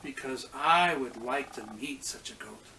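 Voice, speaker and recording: A man plays a young village girl in a children's storybook. The girl is gentle, polite and earnest, speaking slowly, with faint noise behind. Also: medium pitch, voice pushed down, rough recording.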